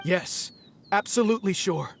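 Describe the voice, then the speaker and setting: An actor playing a humbled small-time bandit leader in a video game, nervous, deferential, apologetic and eager. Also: male voice, sits high, lively intonation, moderate pace, creaky. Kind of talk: scripted game dialogue. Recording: studio voice over game music. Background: game background music.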